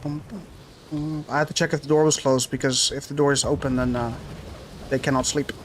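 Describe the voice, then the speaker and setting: A man streaming a game live, rambling casually and thinking out loud as he plays and answers chat. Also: mid-range voice, flat delivery, fairly quick, slight background noise. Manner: rambling, laid-back, thinks aloud with fillers.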